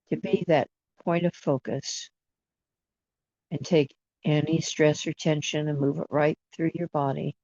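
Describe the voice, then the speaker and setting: A person leading a guided imagery meditation in a soothing manner, with long pauses between short phrases. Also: medium pitch, mellow, no echo. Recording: dull, dry lo-fi recording.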